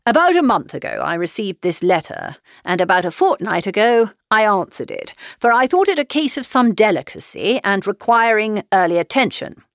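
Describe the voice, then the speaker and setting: A woman's voice: medium pitch, big pitch swings, dry recording. Woman voicing a wry, dry-witted older father. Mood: measured. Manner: measured, wry and teasing. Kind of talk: audiobook reading.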